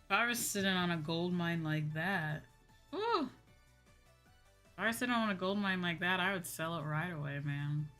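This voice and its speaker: A woman's voice: fairly low pitch, lively intonation, unhurried, a bit bright. A young woman livestreamer chatting casually in a slangy, exclamatory way, like a VTuber talking to her viewers. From United States. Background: background music.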